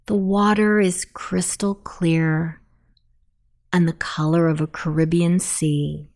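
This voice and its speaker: Very slow-speaking woman guiding sleep meditation. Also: lowish voice, flat delivery, a little mellow. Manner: soothing, unhurried, deliberate. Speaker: meditation guide. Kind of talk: guided sleep meditation narration.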